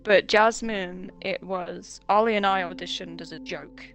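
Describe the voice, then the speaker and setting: A woman's voice: on the low side, fairly even intonation, unhurried, vocal fry, fairly bright tone. A young woman chatting casually, telling stories with swearing and nervous laughs. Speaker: voice actress guest. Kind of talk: casual chat.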